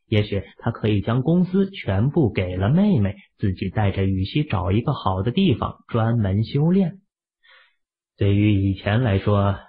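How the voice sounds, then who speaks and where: A man narrating an audiobook drama in a lively storytelling manner. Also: fairly low pitch, breathy edge. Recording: muffled phone-like audio.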